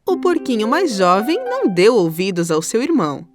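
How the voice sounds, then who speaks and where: A woman's voice: mid-range voice, big pitch swings, normal speed. A woman with a Brazilian accent narrating a scripted children's story. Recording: music under the voice.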